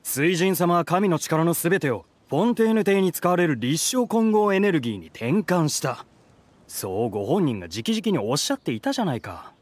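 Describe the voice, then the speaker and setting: An actor playing a fussy, caring young troupe member in a Japanese game, expressive and admonishing. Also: male voice, fairly high pitch, moderate pace.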